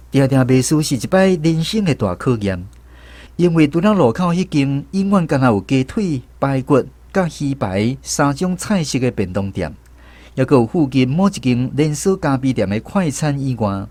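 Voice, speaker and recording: A man's voice: mid-range voice, very animated, normal speed, darkish tone, no room sound. A man with a Taiwanese accent playing a reflective film director who narrates his memories in a radio drama. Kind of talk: scripted first-person radio drama narration. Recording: music bed under the voice. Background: instrumental music.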